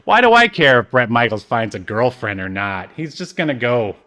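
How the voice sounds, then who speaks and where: A man's voice: medium pitch, sing-song, normal speed, sharp tone. Casual, joking male podcast host.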